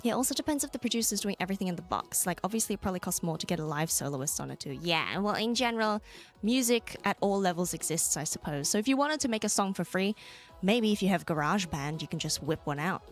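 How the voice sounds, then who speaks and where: A young Australian woman streamer chatting casually with viewers, laughing often and jumping into high squeals. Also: animated, fast talker. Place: home room. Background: soft background music.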